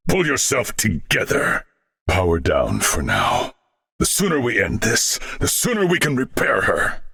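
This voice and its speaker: A gallant, disciplined warrior man from a video game. His voice is forceful and gravelly, swinging widely in pitch. He phrases everything in a formal, old-fashioned, theatrical way, proud and resolute in temper. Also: heavily acted. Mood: grave, vengeful. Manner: formal, archaic, crisply declaimed phrasing.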